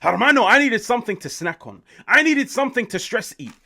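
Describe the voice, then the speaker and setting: A British man in his late twenties, hosting an excited football reaction, swearing often and swinging between loud outbursts and hushed asides. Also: very high-pitched, brisk, gravelly. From United Kingdom. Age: 20s. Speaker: football channel host.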